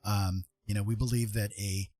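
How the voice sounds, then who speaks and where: A man's voice: on the low side, level tone, moderate pace, dark tone, no room sound. A man hosting a casual art livestream, talking in a friendly, welcoming, rambling way. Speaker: livestream host, digital artist. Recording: music playing behind the voice. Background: music.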